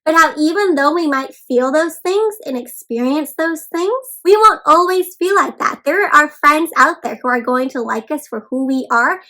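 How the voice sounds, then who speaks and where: A woman with a high voice reads a picture book aloud to young children. She is expressive and encouraging, pausing to put questions to her small listeners and talk directly to them. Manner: addresses listeners as friends. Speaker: children's read-aloud host. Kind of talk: interactive storybook read-aloud for young children.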